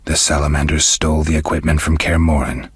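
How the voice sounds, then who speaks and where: A man playing a stoic, world-weary middle-aged monster hunter in a video game, speaking in a gravelly, husky voice with dry, laconic restraint. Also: rumbling low, little pitch movement, fairly quick, dry recording, exaggerated voice. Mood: flat, resolute. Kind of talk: scripted video game character dialogue.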